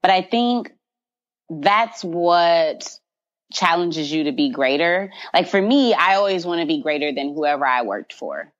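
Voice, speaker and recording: A woman's voice: fairly low pitch, animated, unhurried, dull audio. A woman in her twenties, a podcast guest talking casually and confidently, with frequent "you know" fillers and easy laughs. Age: 20s. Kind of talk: casual conversational interview.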